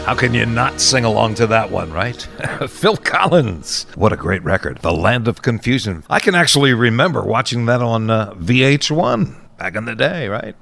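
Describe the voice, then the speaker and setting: An American man works as an oldies radio host, chatting in an upbeat, casual way. His pitch moves around freely and has a creaky, gravelly edge. Music plays clearly behind him. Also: sing-song, normal speed, fairly bright tone. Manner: upbeat, chatty, chuckles between phrases. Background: guitar and oldies music.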